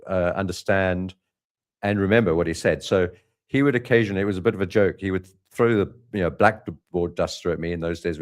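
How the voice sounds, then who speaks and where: A man hosting a live trading update, talking loosely with many fillers and restarts about markets and his own past. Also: low voice. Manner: relaxed, rambling with frequent fillers and restarts. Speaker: trading channel host.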